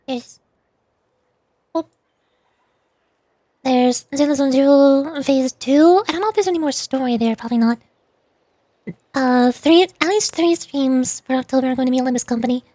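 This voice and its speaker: A woman's voice: high-pitched, normal speed, long pauses, warm tone, dry recording. A woman livestreamer chatting casually to viewers, rambling and thinking aloud as she goes.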